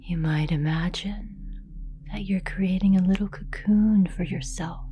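A woman leading a guided meditation in a soft, breathy near-whisper, calm and gentle, with long unhurried pauses. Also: on the low side, faint music behind. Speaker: yoga nidra meditation guide. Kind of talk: guided meditation, slow and soothing narration.

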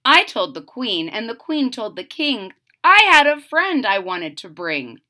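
A middle-aged woman reading a children's picture book aloud with deliberate, theatrical phrasing. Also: a little high, big pitch swings, sharp tone. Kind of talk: expressive children's read-aloud. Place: small room at home.

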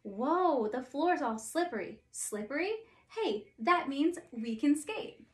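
A woman's voice: high-pitched, big pitch swings, slow, full character voice. A woman reading as a bubbly little snowman. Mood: cheerful. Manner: bubbly, eager, affectionate. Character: cheerful, huggy little male snowman.

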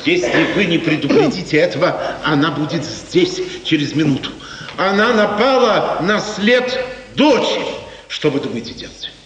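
A man's voice: on the high side, animated, moderate pace, fairly bright tone. An actor playing a brazen, wheedling henchman of a nobleman in a 1950s Soviet radio drama. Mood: urgent warning. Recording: echoey, distant 1950s radio recording, faint noise.

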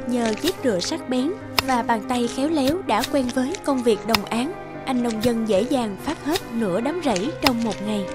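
A woman's voice: a little high, expressive, normal speed, over loud music. A woman reading scripted storybook narration as the narrator of a folk tale.

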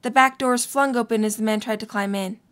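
Woman narrator with a fairly high voice. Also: steady tone, a little fast, fairly bright tone. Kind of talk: scripted story narration.

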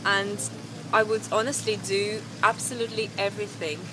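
A woman's voice: mid-range voice, normal speed. A young woman hosting a casual travel vlog, upbeat and laughing easily, on an outdoor terrace. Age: young adult. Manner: bubbly, upbeat, laughs often. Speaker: vlogger host. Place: open hillside terrace outdoors. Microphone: camera mic. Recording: steady outdoor background noise.

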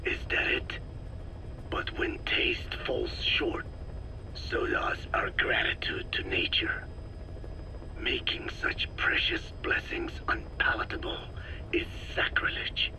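An actor playing a proud, picky old sage in a video game, with a whispery rasp and solemn, formal phrasing. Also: male voice, natural voice, low-quality recording. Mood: stern, disappointed. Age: older. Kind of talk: scripted video game drama.

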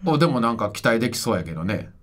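A man's voice: mid-range voice, big pitch swings, very fast, over a noisy crowd. A man with a Kansai accent co-hosting a radio show with casual, teasing banter. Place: radio studio.

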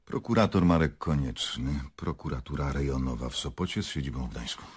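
Radio drama: official, probing prosecutor, deep and slightly husky, varied intonation. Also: male voice, expressive, a little fast, heavily acted. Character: brisk, persistent male prosecutor questioning witnesses, dry and official. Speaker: actor in a radio play.

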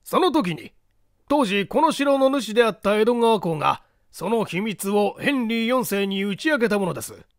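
Man playing a high-voiced, courteous castle owner. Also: animated, dry recording, clean studio sound. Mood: courteous, explanatory. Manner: formal, measured storytelling. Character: courteous male castle owner, explaining old secrets.

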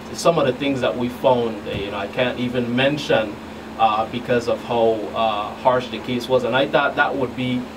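A middle-aged man gives a talk show interview as a law enforcement agent. He speaks in careful, halting phrases at a medium pitch. He sounds off the microphone, with a clear hum in the room. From U.S. Virgin Islands. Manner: halting, deliberate, hesitant phrasing. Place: small radio studio. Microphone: studio boom microphones in view. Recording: distant mic, clearly noisy room hum.

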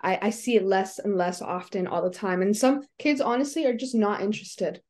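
A woman's voice: mid-range voice, a little fast, a little mellow. A young woman interviewer on a video call from home, talking in an agreeable, affirming way. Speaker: interviewer host.

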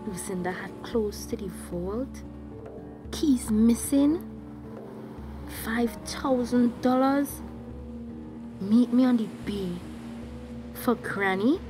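A young woman with a Tobagonian accent acting a headstrong, lovestruck, anxious character in a radio drama. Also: on the high side. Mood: uneasy, musing.

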